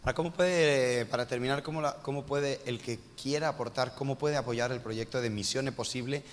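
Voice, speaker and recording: A man interviewing a guest in front of a church congregation, with a chatty, joking manner. His voice sits at a middling pitch. A long reverb from the large hall trails after his words. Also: brisk, a little hiss or hum. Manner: jokey, chatty, self-mocking asides. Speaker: church host interviewing a missionary. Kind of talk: casual church interview on stage.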